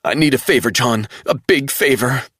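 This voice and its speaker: A man voicing a grief-stricken young man who pleads through sobs, high-strung and scared. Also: normal speed, fairly bright tone, no echo, clean studio sound, close to an everyday voice. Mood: distraught pleading. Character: distraught young man, grieving hacker.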